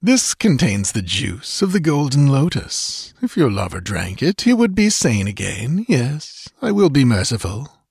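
A man's voice: medium pitch, big pitch swings, unhurried, creaky, hardly any pauses, breathy edge, dry recording, studio quality, pitched above the performer's own voice, full character voice. A man voicing a cruel, lecherous sorcerer-priest in an audiobook story, sardonic and purring with false courtesy. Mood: gloating. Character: cruel, mocking, smugly lecherous male sorcerer-priest villain.

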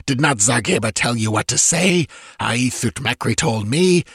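Man as a husky, hissing villainous priest. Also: medium pitch, lively intonation, moderate pace, fairly bright tone, no room sound, clean studio sound, voice pushed up, heavily acted. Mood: furious menace. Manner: hissing, threatening. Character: huge wicked male priest, furious, menacing villain.

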